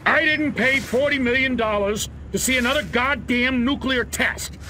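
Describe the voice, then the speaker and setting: A man playing a blustering, angry middle-aged American military officer in a video game cutscene, gruff and profane. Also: very high-pitched, moderate pace, background noise.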